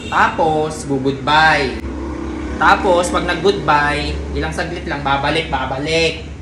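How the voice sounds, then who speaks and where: A man's voice: on the high side, slow pace. A young man speaks in a casual, needling way, repeating himself to press his complaints. His voice rings in an echoey room with some faint noise behind it. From Philippines. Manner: pointed, complaining, repeats phrases for emphasis. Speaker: prankster in a couple's vlog. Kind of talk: casual reactive chat, needling a partner.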